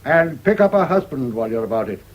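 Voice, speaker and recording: Radio drama old general: husky, gruff and bossy, widely swooping delivery, blunt fatherly orders. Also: medium pitch, very animated, quick pace, highs cut off. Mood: bluff urging. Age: older. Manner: blunt, commanding, clipped phrases.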